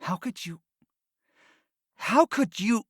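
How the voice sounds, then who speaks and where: Proud scheming courtier in his fifties , played by a man: deferential but insistent, very varied pitch, audiobook drama. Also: lively intonation, slow pace, slightly rough, no room sound, studio quality, exaggerated voice. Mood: stunned. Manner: formal, deferential yet insistent. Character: proud, pleading fifty-six-year-old nobleman schemer. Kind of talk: full-cast fantasy audiobook acting.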